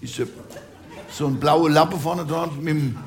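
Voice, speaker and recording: A man's voice: a little high, a little slow, a crowd in the background, natural voice. An older man rambling in a grumbling way, stumbling and repeating himself. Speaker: grumbling old worker figure.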